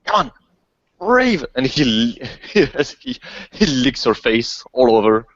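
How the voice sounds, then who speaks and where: Man, tabletop player, unhurried but hugely animated delivery, playful and jokey, dry muffled lo-fi sound. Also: medium pitch, no echo. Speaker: player in a tabletop roleplaying game. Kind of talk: casual game chat, narrating his character. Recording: dull, close lo-fi microphone sound.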